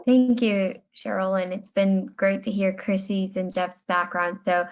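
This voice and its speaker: A woman's voice: medium pitch, flat delivery, unhurried, dull audio. A middle-aged woman from Colombia, speaking as a webinar panelist about her career path in an earnest, reflective way.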